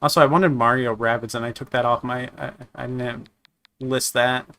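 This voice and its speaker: A man with a slightly raspy voice from a sore throat, chatting casually while reviewing games, rambling with many restarts and fillers. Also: mid-range voice, fairly even intonation, normal speed, pushed and bright. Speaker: gaming streamer. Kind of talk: casual game review chat.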